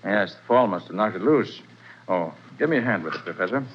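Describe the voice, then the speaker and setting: A man in a 1940s radio play acting a confident detective who lays out the case in brisk, self-assured explanations. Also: low voice, lively intonation, a little hiss or hum. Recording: dull old radio broadcast.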